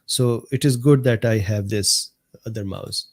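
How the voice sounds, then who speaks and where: Slightly hoarse man hosting a live stream. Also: fairly low pitch. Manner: unhurried, laughs often, addresses viewers by name. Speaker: host of a live medical talk stream. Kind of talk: casual livestream chat reading viewer comments.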